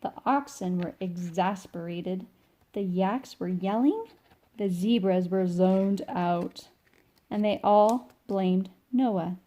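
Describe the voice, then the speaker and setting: A woman reading a children's picture book aloud as an informal, expressive storyteller who stretches key words for young listeners. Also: medium pitch, slow. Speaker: read-along storyteller.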